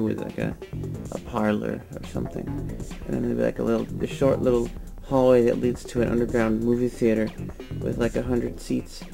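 A sleepy young man lying on a floor, talking in a drowsy, rambling, deadpan way into a nearby webcam. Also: medium pitch, vocal fry. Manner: drowsy, mumbling, deadpan with sudden laughs. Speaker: vlogger. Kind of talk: rambling late-night webcam monologue. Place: lying on a floor in a room indoors.

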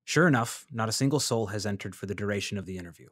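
A man playing an earnest freelance journalist in a fiction podcast, measured and tentative as he interviews and narrates. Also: fairly low pitch, fairly bright tone, dry recording, studio quality. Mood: measured, reflective. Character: earnest, tactful male freelance journalist narrating his investigation. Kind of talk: fiction podcast acting, scripted first-person narration.

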